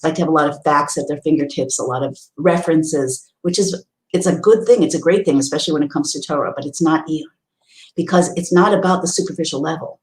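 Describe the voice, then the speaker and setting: A woman's voice: low-pitched, fast, reverberant, poor audio. A woman giving a spiritual teaching talk, earnest and explanatory, often restarting her sentences. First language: English. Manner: earnest, explanatory, with many restarts and Hebrew readings.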